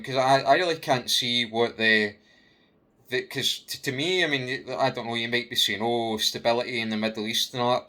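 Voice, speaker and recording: Bright, forceful Scottish man. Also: medium pitch. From Scotland, UK. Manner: halting, repeats himself, rhetorical questions. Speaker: vlogger giving personal opinion. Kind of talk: unscripted opinion rant, talking to the listener.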